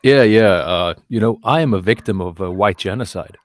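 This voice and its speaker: A man's voice: fairly low pitch, normal speed, no room sound, clean studio sound. A man with a Norwegian accent ranting casually on an online panel, emphatic, sweary and prone to repeating his phrases.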